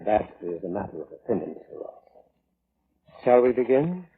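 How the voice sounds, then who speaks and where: A man playing an unflappable, courteous and quietly ominous coroner in a 1940s radio drama, speaking formally and precisely. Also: lowish voice, big pitch swings, normal speed, husky, heavily acted. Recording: muffled vintage radio recording.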